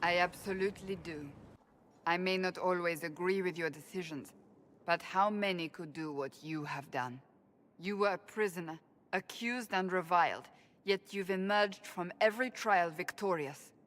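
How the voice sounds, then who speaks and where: Game casting: actress for devout female warrior, stern and sincere, formal measured delivery, slightly low register. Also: fairly bright tone, lightly acted. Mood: admiring, solemn. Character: stern, devout woman warrior, earnest and doubting. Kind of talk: dramatic video game dialogue.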